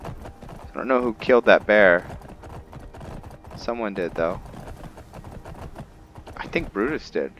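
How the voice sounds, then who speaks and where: Slow, low-voiced man narrating a roleplay game. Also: expressive, lo-fi sound, noisy background. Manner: halting, deliberate, with long pauses. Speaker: gamer hosting a roleplay playthrough. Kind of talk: unscripted roleplay game commentary.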